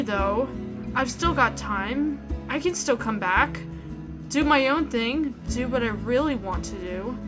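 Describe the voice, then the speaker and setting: A woman giving let's play commentary, reading visual novel narration aloud and reacting in a casual way. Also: on the high side. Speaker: video game streamer.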